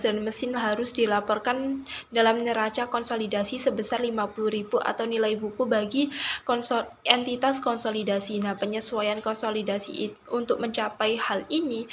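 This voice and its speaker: A young woman delivers a formal student presentation in a fairly high voice. She is a little halting and restarts half-said words. Light noise sits behind her. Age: young adult. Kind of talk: formal explanatory class presentation.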